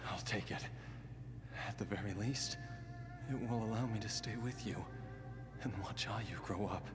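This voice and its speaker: A man playing a weary, dying husband and father in a video game drama, tender and resigned, with a breathy, halting delivery. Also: lowish voice, music in the background, natural voice. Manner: slow, halting, with long pauses.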